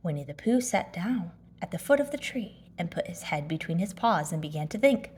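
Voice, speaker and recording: A woman narrating a children's storybook aloud in an expressive storytelling manner. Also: lowish voice, a little fast, husky. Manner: animated storytelling. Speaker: storybook reader.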